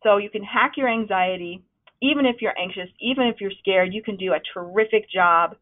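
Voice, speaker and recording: A woman leading a webinar on presenting, with a loud, projecting voice that is starting to wear out, talking in a conversational, encouraging way with frequent ums. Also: medium pitch, brisk. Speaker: presentation trainer. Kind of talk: webinar talk. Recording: narrow, phone-like webinar audio.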